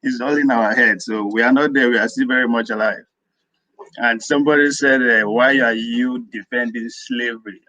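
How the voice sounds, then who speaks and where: A man's voice: medium pitch, fairly bright tone, no echo. Nigerian guy calling in, rambles and repeats himself, dry muffled sound. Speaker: caller. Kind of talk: live audio room discussion.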